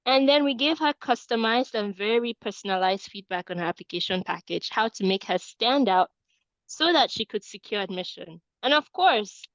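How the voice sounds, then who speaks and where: A woman's voice: mid-range voice, no room sound, dull audio. A woman in her thirties from Cameroon, presenting a webinar as an admissions coach and often adding "right?" to her points. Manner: peppers points with tag question "right?".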